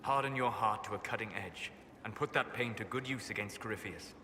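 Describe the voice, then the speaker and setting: A reserved elven mage. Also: male voice, on the low side, a little hiss or hum. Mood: cold, restrained. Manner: calm, measured, soft-spoken. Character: aloof elven male mage, scholarly and guarded. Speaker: video game character. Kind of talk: game cutscene dialogue.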